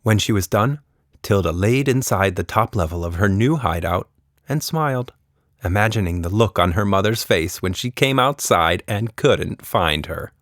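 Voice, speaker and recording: A man's voice: lowish voice, expressive, moderate pace, fairly bright tone, no room sound, clean studio sound. A man narrating a scripted children's story expressively, as a podcast storyteller.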